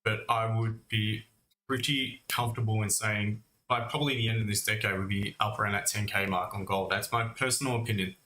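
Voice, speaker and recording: A man's voice: fairly low pitch, steady tone, fairly quick, sharp tone. A man hosting a live trading stream with casual, conversational market commentary, talking directly to his viewers as mates. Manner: conversational, addressing viewers as mate and guys. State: recovering from cough and lost voice. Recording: roomy echo, faint music.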